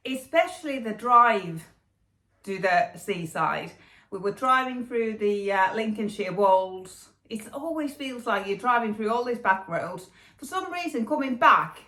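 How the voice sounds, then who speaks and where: A woman's voice: mid-range voice, pushed and bright. Woman, middle-aged, casual chatty host, filler-heavy, echoey room. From Finland. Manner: chatty, with many fillers and restarts. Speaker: knitting podcast host. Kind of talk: casual chat to camera.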